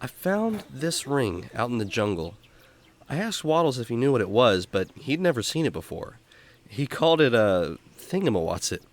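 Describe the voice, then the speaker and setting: Upbeat male explorer-host acted by a man, children's audio drama; showman-like, very expressive. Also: mid-range voice, normal speed. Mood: friendly. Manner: showman-like, friendly, emphatic. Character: upbeat jungle explorer man hosting a kids' story show. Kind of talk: kids' radio drama acting.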